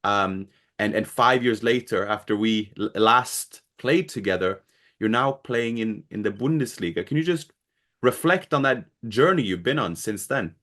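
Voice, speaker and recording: A man's voice: on the low side, lively intonation, moderate pace, a bit bright. A man hosting a football podcast, asking long, rambling questions in a friendly, casual way with frequent laughs and restarts. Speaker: podcast host. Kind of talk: casual interview.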